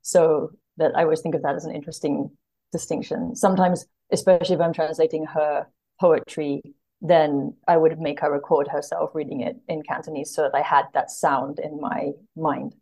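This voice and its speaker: A woman's voice: low voice, flat delivery, normal speed, gravelly, warm tone, husky, low-quality recording. A woman speaking conversationally as an interview guest, earnest and thoughtful, often searching for the right words. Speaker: podcast guest, literary translator.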